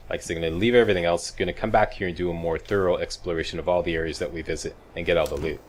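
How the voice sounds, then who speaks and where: A man's voice: low-pitched, fairly even intonation, very fast, slight background noise. A man giving casual, folksy video game walkthrough commentary with wisecracking asides.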